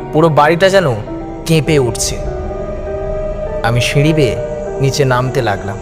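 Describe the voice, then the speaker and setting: A man narrating a first-person horror story in a suspenseful, theatrical style. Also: medium pitch. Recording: music behind the voice. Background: background music.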